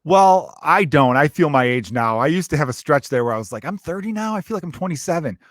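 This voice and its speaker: A man's voice: a little high, lively intonation, quick pace, no echo, clean studio sound. A man in his thirties hosting a podcast, friendly and encouraging, talking in loose run-on sentences. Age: 30s. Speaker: podcast host, comedian. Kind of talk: casual chat.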